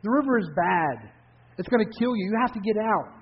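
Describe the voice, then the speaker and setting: A man's voice: high-pitched, lively intonation, brisk, husky, pitched above the performer's own voice. A man voicing people on a riverbank who urgently warn and insist. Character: urgent, warning people on a high bank. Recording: dull lo-fi recording.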